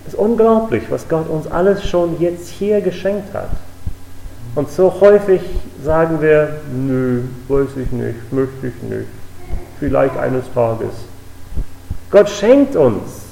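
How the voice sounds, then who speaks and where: A man's voice: fairly high pitch, darkish tone, a little hiss or hum. A man from the United States preaching an earnest, emphatic sermon in a church, with repeated declarations. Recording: echoey hall, voice a bit distant.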